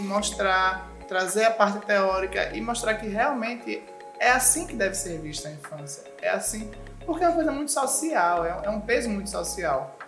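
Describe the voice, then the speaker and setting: A woman's voice: mid-range voice, a bit bright. Earnest woman. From Sergipe, Brazil. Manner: earnest, explanatory, acts out quotes of parents. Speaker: school coordinator. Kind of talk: interview guest explaining. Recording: echoey room with background music.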